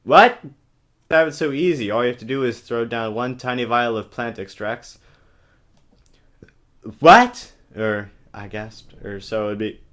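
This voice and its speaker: A man's voice: medium pitch, unhurried, pauses often, fairly bright tone. A man giving a parody dramatic reading of a novel with sarcastic, exaggerated asides, recorded on a webcam. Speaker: comic reader of a novel. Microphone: webcam microphone. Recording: choppy cheap webcam recording.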